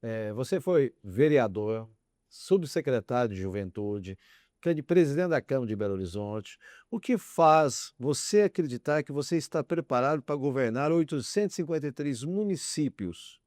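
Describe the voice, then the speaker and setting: A man's voice: mid-range voice, animated, normal speed, darkish tone, no echo, studio quality. A male interviewer who introduces his guest and asks questions, with small restarts. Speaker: podcast interviewer. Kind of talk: interview hosting.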